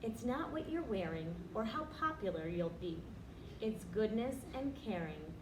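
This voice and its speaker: A woman reading aloud as a children's storyteller, with expressive, deliberate picture-book phrasing. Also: medium pitch. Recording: roomy, distant, noisy recording.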